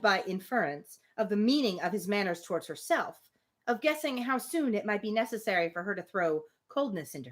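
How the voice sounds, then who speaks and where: A woman hosting a live reading of a classic novel, reading expressively with casual, amused asides. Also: medium pitch. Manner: expressive reading, breaks off for informal amused commentary. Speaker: host reading a novel aloud on a livestream.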